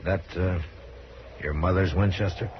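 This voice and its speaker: An actor playing a calm, grave frontier lawman who questions in terse, measured phrases, in 1950s radio western style. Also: low voice. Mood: gentle, probing. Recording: muffled, noisy vintage radio recording.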